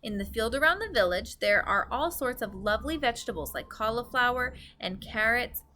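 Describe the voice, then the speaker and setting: A woman's voice: a little high, expressive, brisk, pushed and bright, a little hiss or hum. A woman voicing a small, polite young girl from a storybook, earnest and plain-spoken.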